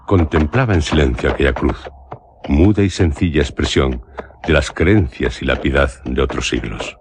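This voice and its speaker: Melancholic traveller-narrator, bass register, Castilian accent, grave measured literary delivery, radio play, clearly noisy recording. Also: male voice. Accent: Castilian Spanish. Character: solemn, melancholic male traveller recounting an eerie journey.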